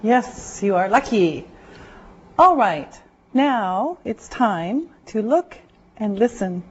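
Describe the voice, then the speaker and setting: A woman teaching an English class, giving slow, careful directions to her students in a classroom. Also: mid-range voice, very animated. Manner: slow, deliberate, clearly spoken directions. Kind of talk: classroom instruction. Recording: muffled lo-fi classroom recording.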